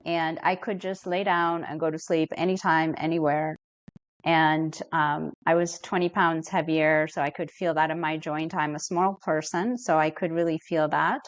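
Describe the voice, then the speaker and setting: A middle-aged woman from Ethiopia, a therapist speaking as a podcast guest in an earnest, reflective and measured way. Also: deep voice, fairly even intonation, no room sound, lo-fi sound. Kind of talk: podcast interview.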